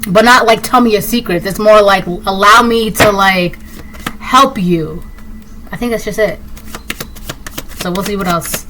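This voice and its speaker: Woman, Spanish native speaker from Puerto Rico, casual rambling tarot reader; bright tone, light hiss on the track. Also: mid-range voice, measured pace, pauses often, low-quality recording. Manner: rambling, many fillers and restarts. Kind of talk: casual intuitive reading to camera.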